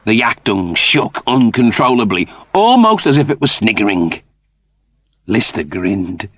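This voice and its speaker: A man's voice: on the low side, sing-song, a little slow, no room sound. A man narrating a scripted story in a dramatic storytelling style. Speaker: audiobook narrator.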